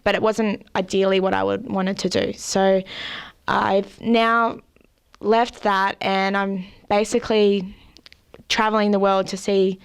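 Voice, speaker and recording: Chatty young Australian woman, smooth voice. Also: medium pitch, level tone, unhurried. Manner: chatty, laughs often, many fillers. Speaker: guest. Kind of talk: casual interview.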